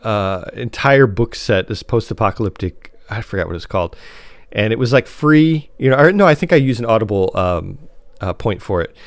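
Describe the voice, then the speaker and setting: A man co-hosting a podcast in casual, slightly halting conversation. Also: fairly low pitch, expressive, no room sound. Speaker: podcast host.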